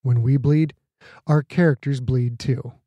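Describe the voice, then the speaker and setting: A man's voice: mid-range voice, steady tone, measured pace, dark tone, husky, no echo, dull audio. A man reading a scripted, reflective author's note aloud.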